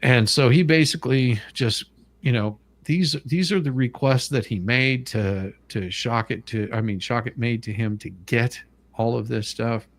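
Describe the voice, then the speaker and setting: A man's voice: mid-range voice, measured pace. A man reading legal documents aloud and adding casual, blunt commentary, sometimes stumbling over the formal wording, as co-host of a livestream.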